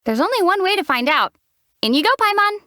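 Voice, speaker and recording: A woman voicing a calm young female traveler hero who teases in a deadpan way, in studio game voice acting. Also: very high voice, a little fast, dry recording, heavily acted. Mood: dry. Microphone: studio mic.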